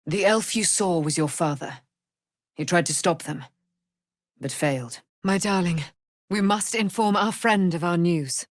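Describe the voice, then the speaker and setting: A woman's voice: lowish voice, dry recording, clean studio sound. An actress voicing a proud, righteous immortal warrior woman in a fantasy game, speaking in a grand, declamatory manner with archaic, formal diction. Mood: solemn resolve. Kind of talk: fantasy video game character acting.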